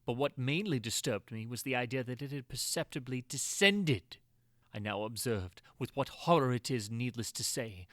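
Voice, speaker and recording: A man's voice: mid-range voice, no room sound, clean studio sound, close to an everyday voice. A man playing a tormented prisoner recounting his agony in a theatrical, anguished way with archaic diction, in a gothic horror reading.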